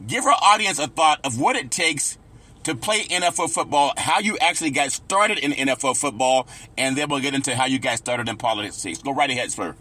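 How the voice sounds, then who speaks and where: Sports talk host asking questions. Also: male voice, mid-range voice, animated, brisk, sharp tone. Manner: prompting, frequent short affirmations. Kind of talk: radio interview.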